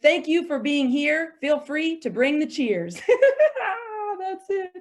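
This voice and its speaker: A woman's voice: sits high, sing-song, takes it slowly. A woman on a video call who chats cheerfully, laughs often and recites a short rhymed spoken-word piece. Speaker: panelist and spoken-word performer. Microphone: home computer mic on a video call.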